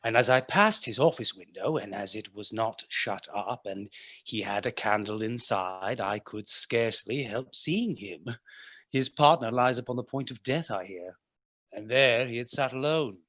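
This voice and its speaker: An actor playing a warm, teasing husband sharing news in a radio play. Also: male voice, mid-range voice, lively intonation, moderate pace, no room sound. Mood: gossipy.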